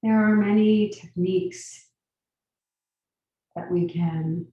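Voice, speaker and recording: A woman guiding a meditation as a teacher, giving gentle, unhurried instructions with long pauses. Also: mid-range voice, steady tone, lo-fi sound. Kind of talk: guided meditation. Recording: very echoey room, distant mic.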